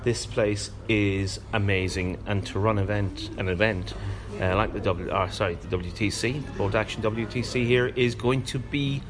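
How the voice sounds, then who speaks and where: A man's voice: fairly low pitch, normal speed. A man with an Irish accent chatting casually and wryly as a podcast guest, with jokey banter. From Ireland. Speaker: podcast guest, gaming event representative. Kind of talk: casual chat. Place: hotel breakfast area. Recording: clearly noisy room ambience.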